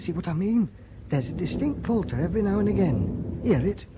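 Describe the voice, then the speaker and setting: An actor in a radio drama playing an alert, uneasy British sailor held prisoner, plain-spoken and colloquial. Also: male voice, high-pitched, big pitch swings, brisk. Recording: dull, very noisy old radio recording.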